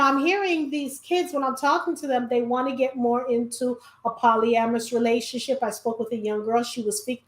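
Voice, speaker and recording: Middle-aged woman co-host, rambling and halting, fairly high voice, echoey room sound. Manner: rambling, trails off with many restarts. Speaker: podcast co-host. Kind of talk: casual discussion.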